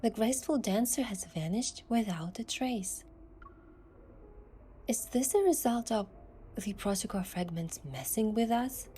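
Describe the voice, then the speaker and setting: A woman's voice: fairly high pitch, lively intonation, measured pace, warm tone, slight background noise. A woman giving scripted first-person narration of an adventure story as its protagonist.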